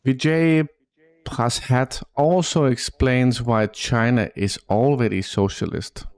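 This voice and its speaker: A man's voice: medium pitch, fairly even intonation, takes it slowly, darkish tone, clean tone. A man in casual chat who presses his points insistently.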